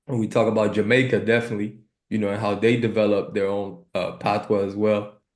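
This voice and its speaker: Echoey low-voiced man. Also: flat delivery, fairly quick. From Cuba. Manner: informal, often checks with "right" and "you know". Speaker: podcast co-host. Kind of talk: casual conversational interview.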